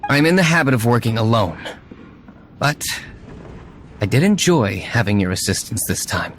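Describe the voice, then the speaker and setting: Man playing a stern, aloof young nobleman, expressive pitch. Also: moderate pace, slight background noise, lightly acted. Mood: softened, formal. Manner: curt, dry, formal. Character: stern, aloof young tavern owner and secret vigilante. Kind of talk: video game voice acting.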